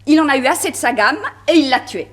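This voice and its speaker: An actress playing a detective's lively, skeptical female partner with a French accent, expressive and playful in 1960s radio drama style. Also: high-pitched, fast. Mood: curious, amused.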